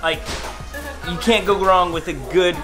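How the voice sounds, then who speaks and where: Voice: mid-range voice, steady tone, a little slow. A vlog host chatting casually to camera in a jokey, playful way. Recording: loud background music. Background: music.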